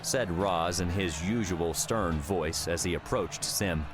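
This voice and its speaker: A man's voice: sits low, slow pace. A man narrating a fiction audiobook in a dramatic, scripted style. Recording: background music under the voice.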